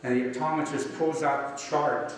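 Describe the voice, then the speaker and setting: A man whose first tongue is Slovak reads his poems in a slow, deliberate way, then chats loosely between them. His voice is heard from some distance in a big room with long, ringing reverb and a faint hiss behind it. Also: a little high, steady tone. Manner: slow deliberate poem reading, chatty aside with fillers. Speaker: poet giving a reading. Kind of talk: poetry reading with anecdotes. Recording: echoey hall, distant mic, faint noise.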